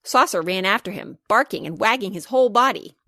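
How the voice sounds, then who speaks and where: A woman reading a children's storybook aloud as scripted narration, expressive and clearly paced. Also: medium pitch, brisk, no room sound, clean studio sound. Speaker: author reading her children's book.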